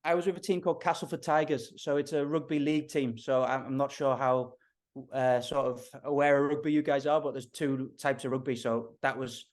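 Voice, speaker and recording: A man's voice: mid-range voice, brisk. Male guest, thirties, Liverpool accent, friendly and easygoing. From Liverpool, England. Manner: friendly, relaxed storytelling. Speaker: strength coach, physio. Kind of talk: interview guest.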